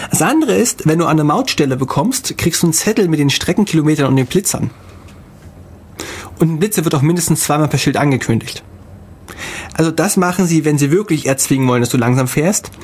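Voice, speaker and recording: A man co-hosting a casual podcast, telling travel stories in a halting way with many fillers. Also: on the high side, slight background noise. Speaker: podcast co-host. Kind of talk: casual chat.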